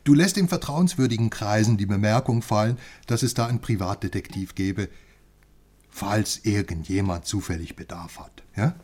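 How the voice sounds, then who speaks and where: Husky, cheeky, conspiratorial friend. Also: male voice, lowish voice, normal speed. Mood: teasing, conspiratorial. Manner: animated, conspiratorial, teasing. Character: chatty, cheeky male friend, curious outsider in East Germany. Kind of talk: radio crime drama acting.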